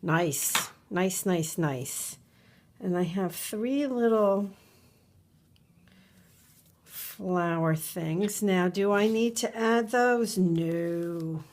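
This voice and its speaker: A woman's voice: fairly low pitch, drawn-out words, long pauses, a little mellow. A middle-aged woman, a little congested from a cold, chatting and musing aloud at her craft desk while she works. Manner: chatty, musing aloud, repeats words to herself. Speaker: craft video host. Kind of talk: relaxed crafting tutorial narration. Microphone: overhead camera above a desk, hands only.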